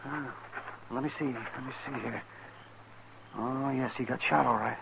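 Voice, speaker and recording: Gravelly, weary American frontier doctor. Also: male voice, medium pitch, fairly even intonation. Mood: muttering, blunt. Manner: muttering, drawn-out, folksy. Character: blunt, weary frontier town doctor, grown man. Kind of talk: 1950s radio acting. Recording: hissy 1950s radio recording.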